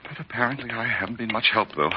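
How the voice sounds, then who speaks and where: A man's voice: medium pitch, quick pace, background noise, lightly acted. An actor playing a worried, respectable, easily flustered businessman in an old-time radio drama, speaking earnestly. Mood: troubled. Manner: fretful, earnest. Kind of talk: 1940s radio detective drama acting. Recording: muffled old radio recording.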